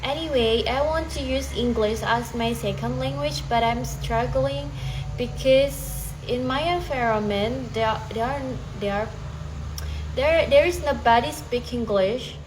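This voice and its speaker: A young woman with an Indonesian accent, a native Bahasa Indonesia speaker, practicing English slowly and hesitantly, with frequent restarts. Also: on the high side, background noise. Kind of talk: casual conversation practice.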